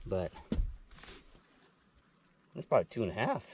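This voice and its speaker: Male angler on a boat, lively swings in pitch, slangy exclamations, unhurried, muffled outdoor sound. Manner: exclamatory, slangy, laughs often. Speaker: angler hosting a fishing video. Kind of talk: excited casual chat while fishing. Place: outdoors on a small boat on a lake. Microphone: action camera on the boat, a few meters away. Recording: muffled, distant, loud wind and boat noise.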